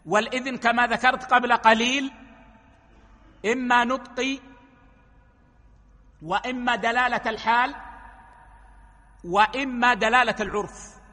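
A man gives a religious lesson in a high, bright voice, laying out each ruling step by step. The sound carries a long reverb from a hall. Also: fairly even intonation, a little slow, pauses often. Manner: explains rulings step by step, quoting hadith. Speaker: preacher.

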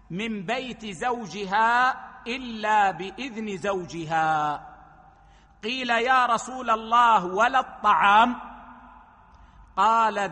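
A man gives a religious lesson in a high, bright voice, laying out each ruling step by step. The sound carries a long reverb from a hall. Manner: explains rulings step by step, quoting hadith. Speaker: preacher.

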